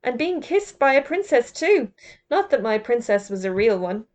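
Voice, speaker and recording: A woman's voice: fairly high pitch, sing-song, moderate pace, a little mellow. A woman doing a smug, boastful schoolboy. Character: cocky, boastful schoolboy fresh from being a frog.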